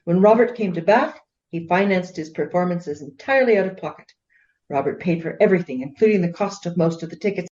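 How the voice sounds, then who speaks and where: A woman playing a proud, indignant Regency gentlewoman in a radio play, speaking formally and emphatically in defence of her husband. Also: on the low side, lively intonation, fairly quick, muffled recording, lightly acted. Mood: emphatic, defensive.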